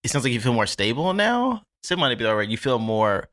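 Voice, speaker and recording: A man's voice: medium pitch, very animated, quick pace, fairly bright tone, no room sound, studio quality. A man co-hosting a podcast, playful and teasing, asking follow-up questions. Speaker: podcast co-host. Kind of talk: casual interview chat.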